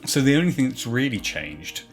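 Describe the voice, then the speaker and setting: A man's voice: medium pitch, very animated, normal speed, soft background music. A man speaking informally as a producer and director, recorded in his home office. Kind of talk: informal talk to camera.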